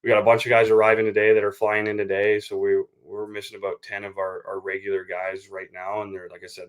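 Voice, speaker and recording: Low, even-toned man, coach in interview. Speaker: baseball coach being interviewed. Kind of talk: conversational sports interview.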